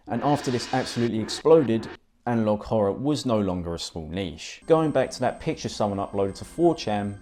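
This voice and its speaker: A man's voice: on the low side, darkish tone. A man narrating a scripted video essay in an informal, explanatory manner with casual asides. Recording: music behind the voice. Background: music.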